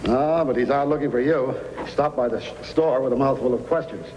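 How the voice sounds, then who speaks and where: A male actor as a folksy, crafty storekeeper of the frontier. He speaks at a middling pitch in old-time radio style, with background noise on the vintage recording. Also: fairly quick, darkish tone, lightly acted, poor audio. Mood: scheming. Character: folksy fatherly frontier storekeeper who is secretly a crafty outlaw.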